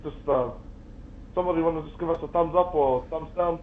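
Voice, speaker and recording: Upbeat podcast host, dull lo-fi sound. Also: male voice, on the high side, fairly quick. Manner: upbeat, friendly, says bro and brother a lot. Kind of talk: casual podcast interview. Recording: dull lo-fi sound with faint noise.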